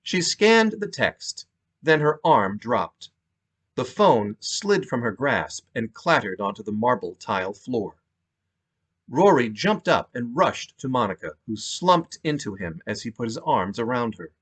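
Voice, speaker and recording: A man's voice: medium pitch, very animated, measured pace, a bit bright. An American man, an author reading his own novel aloud as scripted narration. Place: home basement.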